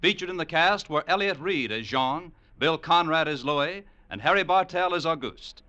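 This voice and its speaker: A man's voice: fairly high pitch, expressive, moderate pace, sharp tone. A man announcing in the formal, polished style of an old-time American radio broadcast. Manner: formal, polished sign-off delivery. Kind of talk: 1940s-50s radio announcing. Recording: vintage radio broadcast with music.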